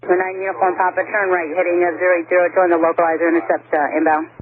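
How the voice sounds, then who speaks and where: A woman's voice: fairly low pitch, level tone, fast talker, phone-quality sound. A woman working as an air traffic controller gives procedural instructions over aviation radio.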